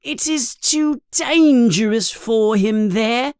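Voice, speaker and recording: A woman voicing a small, timid, tearful male house elf who talks in a squeaky, pleading, servile way. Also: slow pace, darkish tone, clean tone, no echo, studio quality.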